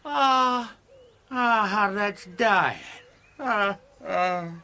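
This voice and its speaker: An actress playing a sly, mocking old Scottish crone in a radio drama, with an eerie, cackling delivery. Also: female voice, medium pitch, sharp tone, exaggerated voice. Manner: cackling, drawn-out, swooping delivery.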